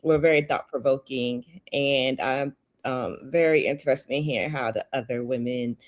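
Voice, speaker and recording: Low-voiced woman guest on a video call. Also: steady tone, normal speed. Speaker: guest, consultant. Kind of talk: casual interview answers.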